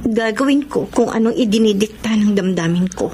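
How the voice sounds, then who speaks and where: An actress playing a young woman torn in love, sorrowful yet resolute, speaking earnestly and haltingly in a radio drama. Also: medium pitch, noisy background, natural voice. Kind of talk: Tagalog radio drama acting. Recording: dramatic music behind the voice.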